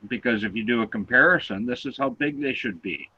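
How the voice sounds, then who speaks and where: A man with a loud voice, co-hosting an online talk show and patiently explaining things step by step. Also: mid-range voice, huge pitch swings, brisk, creaky, breathy edge, dull audio. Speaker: co-host explaining seismograms.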